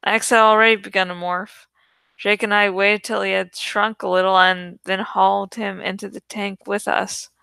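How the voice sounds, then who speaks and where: A woman's voice: mid-range voice, flat delivery, moderate pace, gravelly, sharp tone, clean tone, no room sound. A woman reading a young adult adventure novel aloud as dramatic, suspenseful narration. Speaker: audiobook reader.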